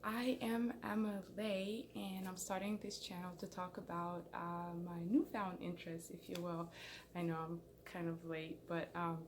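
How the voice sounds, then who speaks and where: A woman's voice: on the low side, flat delivery, a little slow, a little hiss or hum. A young woman from Somalia chatting to camera as a channel host, rambling and self-conscious with many fillers and restarts. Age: young adult. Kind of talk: casual chat to camera. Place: small indoor room. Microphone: camera in front, head and shoulders framing.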